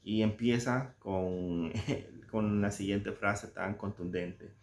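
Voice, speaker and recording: A man from El Salvador with a Salvadoran Spanish accent, talking casually and reflectively about a book he loves, searching for words with soft chuckles. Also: on the low side, steady tone, slow pace, vocal fry, roomy sound. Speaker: book reviewer. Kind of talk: casual spoken book commentary.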